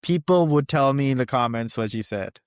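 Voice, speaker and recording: Male interview host, clean smooth tone, dry close recording, casual, halting phrasing. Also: medium pitch, animated, dry recording. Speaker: webisode host.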